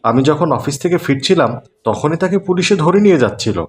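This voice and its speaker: A man's voice: a little high, lively intonation, brisk, lightly acted. A man voicing an upright, moralising father in a cartoon. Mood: grave, accusing. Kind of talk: Bangla cartoon dubbing.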